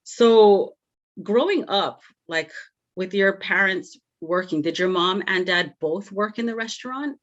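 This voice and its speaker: A woman hosts a casual podcast interview, chatty and effusive, filling pauses with "you know." Her voice sits at a middle pitch. The audio comes through a bit dull and lo-fi. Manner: warm, effusive, many "you know" fillers. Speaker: podcast host.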